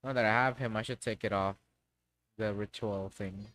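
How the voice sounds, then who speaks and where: A man's voice: on the low side, steady tone, moderate pace, fairly bright tone. A man livestreaming a game with casual, slangy, offhand commentary.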